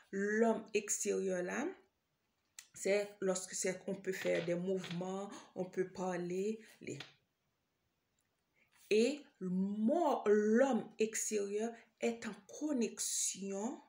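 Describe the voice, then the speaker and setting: A woman's voice: mid-range voice, drawn-out words, mellow. A woman from Haiti with a Haitian accent, preaching an informal sermon straight to the listener, hesitant, full of fillers and repeated phrases. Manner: addresses listener directly.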